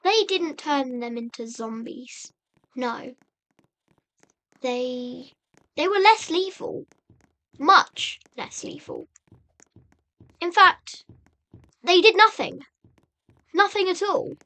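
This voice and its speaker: A woman narrates a story recap at a slow, halting pace, building suspense as she goes. Her voice is high and bright, and she leans on repetition and rhetorical questions for drama. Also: animated. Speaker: video narrator and host.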